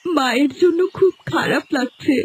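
A woman voicing a gentle, sorrowful young heroine in a cartoon fairy tale, polite and plaintive. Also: high-pitched, moderate pace, close to an everyday voice.

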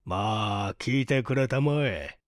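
Guy doing a stubborn old company boss from a storybook, really slow, formal old-fashioned talk, close dry sound. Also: mid-range voice, lively intonation, dry recording. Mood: earnest, reflective. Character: stubborn, childless older businessman, earnest and dignified. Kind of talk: dramatized audiobook reading.

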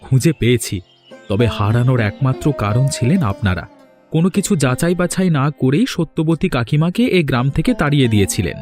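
An earnest young cartoon hero, acted by a man, speaks with righteous, dramatic emphasis. His voice sits at a middling male pitch, with music playing behind it. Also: lively intonation, a little fast, darkish tone, natural voice. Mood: reproachful, insistent. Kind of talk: Bengali moral-tale cartoon dubbing.

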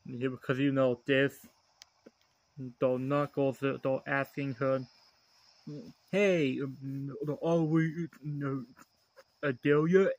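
A man gives a casual, unscripted showcase of a game in a monotone voice. He talks very slowly and hesitantly, breaking his sentences into small pieces with long gaps. It sounds like an amateur handheld recording. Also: medium pitch, dry recording, highs cut off. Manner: hesitant, fragmented, long pauses between phrases. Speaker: amateur video host showing a handheld game.

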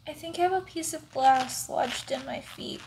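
Young female, high-pitched and unhurried, soft-spoken ASMR roleplay as a gentle monster. Noisy living-room recording. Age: young adult. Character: gentle giant female monster with sore feet. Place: living room, indoors on a couch.

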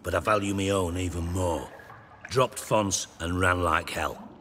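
A man's voice: sits low, steady tone, a little slow, pushed and bright, slight background noise. An actor playing an irritable, self-important male crime boss in a video game, sardonic, clipped and foul-mouthed. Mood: dry, irritated. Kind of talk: video game dialogue acting.

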